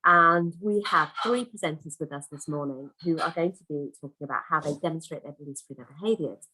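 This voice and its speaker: A woman hosts an online conference from a video call, gushing over the speakers and chatting freely. Her voice sits fairly low, and her talk is loose and full of restarts. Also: fairly quick, slight background noise, poor audio. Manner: warm, chatty, effusive, lots of fillers and restarts. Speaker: conference host.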